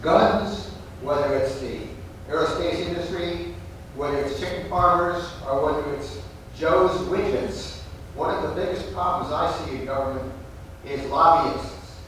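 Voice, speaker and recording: A man in the audience asking a question in a loud, brisk voice. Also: a little high, slight background noise. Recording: echoey hall from far off.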